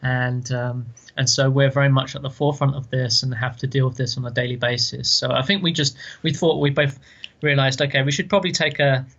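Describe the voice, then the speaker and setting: A man's voice: medium pitch, fairly even intonation, brisk, gravelly, a bit bright. A man from London co-hosting a podcast, chatting casually and repeating his words. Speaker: podcast co-host. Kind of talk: casual chat. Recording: dull lo-fi sound.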